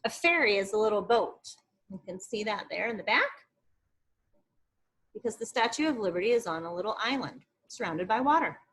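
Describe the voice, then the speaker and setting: A woman teacher reading an informational book aloud to young children, expressive and explanatory, with asides to her pupils. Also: medium pitch, normal speed, slightly rough, low-quality recording.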